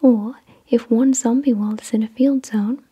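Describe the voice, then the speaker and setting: A woman hosting ASMR, reading out descriptions in a breathy whisper with careful, soothing enunciation. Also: a little high, steady tone, normal speed, warm tone. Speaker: ASMR video host. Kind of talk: soft-spoken ASMR card unboxing, reading descriptions.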